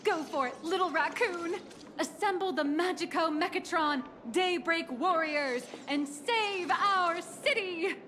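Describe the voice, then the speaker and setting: High-pitched, peppy company guide. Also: female voice, measured pace, a little hiss or hum, full character voice. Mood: rousing. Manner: theatrical, instructive presenter. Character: peppy female corporate guide to a robot-building experience. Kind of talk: scripted video game character acting. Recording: loud music under the voice.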